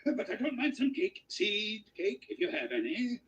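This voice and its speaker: An actor playing boisterous, demanding dwarf guests in a theatrical, hearty radio-play manner. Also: male voice, high-pitched, huge pitch swings, moderate pace, a bit bright, lo-fi sound, heavily acted.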